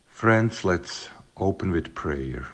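A man's voice: deep voice, expressive, a bit bright. A man in his fifties from Switzerland with a Swiss accent, giving a friendly greeting and a prayer. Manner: friendly, unhurried. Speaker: guest sending a video greeting.

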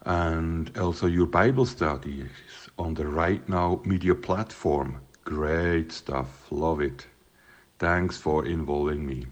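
Swiss-accented man, 50s, very low and unhurried, friendly prayer. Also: fairly even intonation. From Switzerland. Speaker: guest sending a video greeting. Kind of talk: greeting and prayer.

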